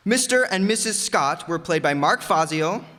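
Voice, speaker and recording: A man's voice: fairly high pitch, lively intonation, moderate pace. A man announcing cast credits in old-time radio style to an audience in a town hall. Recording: echoey hall, crowd, noisy background.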